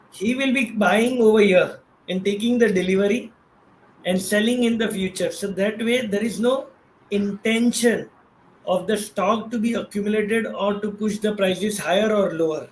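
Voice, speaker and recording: Indian guy, high voice, guest expert explaining stuff casually, echoey room. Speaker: guest expert, trading professional. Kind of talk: casual explanatory talk on stock trading. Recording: roomy echo, faint background noise.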